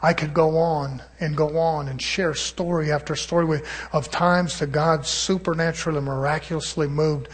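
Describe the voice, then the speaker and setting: Middle-aged man, husky, slightly high, testimony sermon, bursts of loud praise. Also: fairly even intonation, moderate pace, few pauses. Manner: rising to loud exclamations of praise. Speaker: pastor. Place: church.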